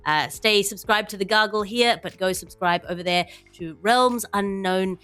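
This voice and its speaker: A woman's voice: mid-range voice, moderate pace, fairly bright tone, faint music behind. Wry woman hosting a comedy podcast. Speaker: podcast host.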